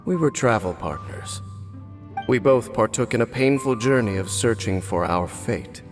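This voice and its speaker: An actor playing a grave, brooding wandering swordsman who speaks in a slow, formal, solemn way in a game cutscene. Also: mid-range voice, expressive, close to an everyday voice. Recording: game audio with long reverb and music.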